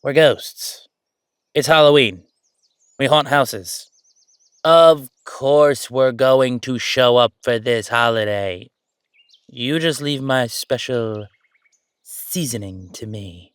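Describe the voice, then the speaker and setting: A man plays an excitable, joking ghost who chats like an easygoing roommate. His voice sits at a medium pitch and the sound is dry, with no room to it. The delivery is casual and playful, in the style of a roleplay audio drama. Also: takes it slowly, long pauses, smooth, no room sound, lightly acted. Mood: gleeful. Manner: excitable, chatty, joking, hesitating conversationally. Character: friendly male ghost roommate, playful, mischievous, chatty jokester.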